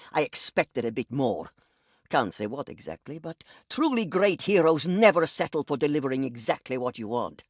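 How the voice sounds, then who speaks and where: An old woman who mentors heroes with haughty, exacting condescension. The pitch sits fairly high for a male voice and moves expressively through teasing, measured phrases. It is video game dialogue with a very dry sound. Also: a bit bright, dry recording, heavily acted. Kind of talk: video game mission briefing acting.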